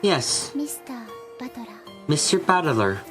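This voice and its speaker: A man voicing a solemn, dutiful female servant with formal, measured wording in a visual novel fan dub. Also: high-pitched, wildly swooping pitch, over music, heavily acted. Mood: apologetic.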